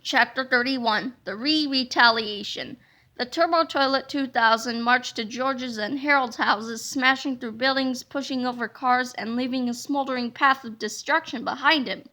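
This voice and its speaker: Bright, high-voiced woman reading a story. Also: moderate pace. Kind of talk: animated children's book read-aloud.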